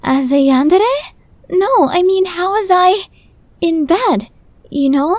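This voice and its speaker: Woman acting a very high-pitched, flustered lovestruck girlfriend type. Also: expressive, slow, darkish tone, dry recording, heavily acted. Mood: nervous, flustered. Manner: stammering, flustered, breathy asides. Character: tiny, nervous, lovestruck young woman, flustered accidental yandere. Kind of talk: ASMR roleplay acting.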